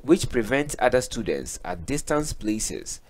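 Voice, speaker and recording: A man's voice: mid-range voice, sing-song, a little slow, background noise. A man with a Ghanaian accent reading a scripted news report as narrator.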